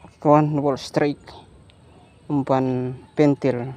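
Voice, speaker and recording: A man's voice: medium pitch, little pitch movement, slow, mellow, muffled recording. A man from Indonesia giving casual, playful commentary as he fishes outdoors on a river bank, often repeating catchphrases and exclamations. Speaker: fishing vlogger. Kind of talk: casual commentary while fishing.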